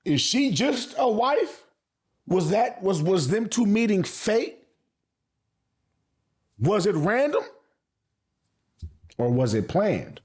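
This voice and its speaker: A man's voice: high voice, sing-song, slow, pauses often, a little mellow. A man giving casual, opinionated anime commentary as a vlog host, speaking in emphatic slang with frequent swearing and repeated phrases. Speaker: anime reviewer and channel host.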